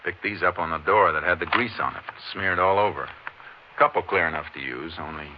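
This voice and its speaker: A man playing a steady, observant Texas Ranger in a 1950s radio western, speaking in a clipped, matter-of-fact way. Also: low-pitched, a little fast, close to an everyday voice. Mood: businesslike. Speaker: lead actor in a radio western. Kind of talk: 1950s radio drama acting and first-person narration. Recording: noisy, muffled vintage radio recording.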